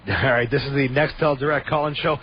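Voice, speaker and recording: A man's voice: medium pitch, moderate pace. A man hosting a sports radio call-in show, upbeat and moving the conversation along. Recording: dull lo-fi radio audio, faint noise.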